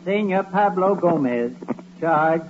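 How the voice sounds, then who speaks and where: An actor playing a formal court clerk in 1940s radio comedy, announcing in a slow, drawn-out drone. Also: male voice, high voice, animated. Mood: officious. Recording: noisy, dull vintage radio recording.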